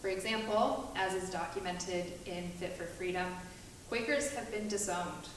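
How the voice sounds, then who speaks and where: A woman reading a prepared talk as a panelist, earnest and deliberate, with emphatic repetition. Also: on the low side. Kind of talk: prepared speech read aloud at a panel. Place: echoey meetinghouse hall, distant mic. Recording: very echoey, distant mic, faint noise.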